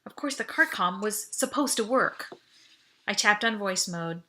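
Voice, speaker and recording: A woman reading a first-person story aloud as an expressive audiobook narrator. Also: mid-range voice, measured pace. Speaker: audiobook reader.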